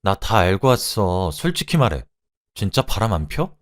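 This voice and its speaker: A man's voice: deep voice, sing-song, moderate pace. Man voicing a furious betrayed middle-aged wife. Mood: cold, confronting. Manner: sharp, accusing confrontation.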